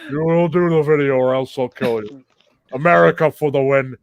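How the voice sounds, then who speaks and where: A man improvising a stubborn, power-hungry capitalist invader in a comic role-play, halting and insistent. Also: mid-range voice, steady tone, no room sound, close to an everyday voice. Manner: halting, insistent, repeats himself.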